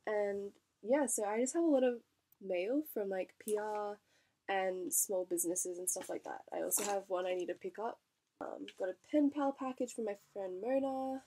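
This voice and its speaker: A woman's voice: medium pitch, normal speed, dark tone, slight background noise. A young woman vlogger, congested from a cold, chatting bubbly and casually while unboxing packages. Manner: chatty, bubbly, lots of fillers and likes.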